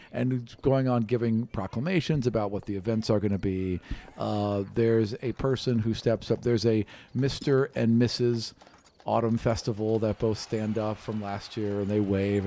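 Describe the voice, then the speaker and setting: Man, low pitch, game master, improvised tabletop narration, conversational delivery. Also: warm tone. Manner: conversational, loosely improvised descriptions.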